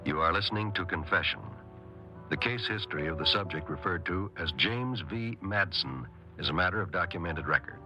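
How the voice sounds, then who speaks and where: Solemn 1950s crime show announcer, deep voice over music. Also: male voice, animated, a little fast. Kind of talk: 1950s radio scripted narration.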